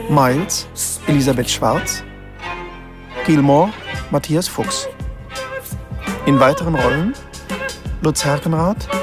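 Slow, measured radio drama announcer. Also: medium pitch. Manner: measured, clearly separated phrases. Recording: music behind the announcement.

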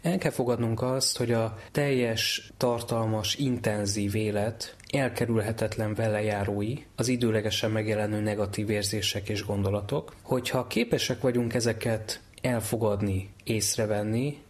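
A man giving a measured, structured explanatory talk in the manner of a teaching expert. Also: on the low side, steady tone.

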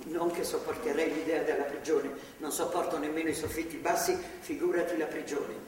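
A woman's voice: low voice, fairly even intonation, brisk, a little mellow, natural voice. Echoey, distant older woman, devout and admonishing acted. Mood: earnest, reproving. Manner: earnest, moralizing, admonishing. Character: devout older grandmotherly woman, stern and righteous captor. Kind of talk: theatrical stage and radio drama acting. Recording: distant, echoey hall, clearly noisy.

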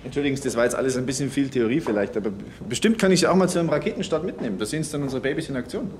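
An actor, chatty and explanatory. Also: male voice, mid-range voice, very fast, darkish tone, lightly acted. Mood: friendly. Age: young adult. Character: brisk, casual young male rocket engineer boss. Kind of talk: radio play dialogue. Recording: noisy, with car and machinery ambience.

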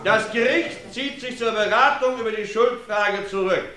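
An actor playing a formal judge in a 1950s radio drama, pronouncing slowly and solemnly. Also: male voice, sits high, over a noisy crowd, close to an everyday voice. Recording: roomy old radio play recording.

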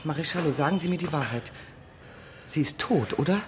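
A woman's voice: low voice, a little fast, slight background noise, lightly acted. An actress playing an anxious, talkative young mother and neighbour in a radio drama, speaking in a worried, confiding way.

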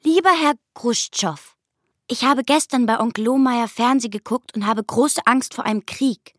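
Actress as anxious young girl, high childlike voice, dry close sound, earnest pleading radio-play delivery. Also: normal speed, no room sound, close to an everyday voice. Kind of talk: German radio play acting.

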